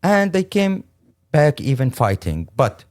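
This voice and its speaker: A middle-aged man from Syria with a Syrian Arabic accent, speaking English as a second language in an earnest, halting, emphatic interview account. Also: fairly high pitch, sing-song, darkish tone. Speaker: interview guest, lawyer and humanitarian.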